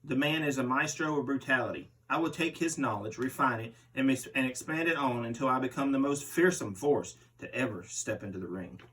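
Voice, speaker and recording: A man's voice: mid-range voice, expressive, brisk, few pauses, a bit bright, close to an everyday voice. Give me a man playing a boastful barbarian wrestler, distant from the mic. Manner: boastful, menacing wrestling promo talk. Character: brutal, boastful male barbarian pro wrestler, self-confessed crazy. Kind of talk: interview read aloud as a wrestler. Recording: distant microphone.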